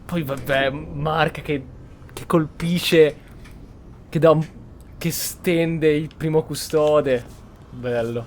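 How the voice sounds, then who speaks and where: Italian male streamer, slightly high voice, casual halting commentary, faint noise behind. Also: steady tone, darkish tone. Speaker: gameplay streamer.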